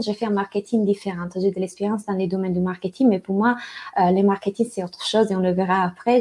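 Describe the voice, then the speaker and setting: Woman, Italian accent, warm soft timbre, coaching webinar, halting with fillers, video call. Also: medium pitch. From Italy. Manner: hesitant, many fillers and restarts. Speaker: life and business coach. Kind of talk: webinar presentation.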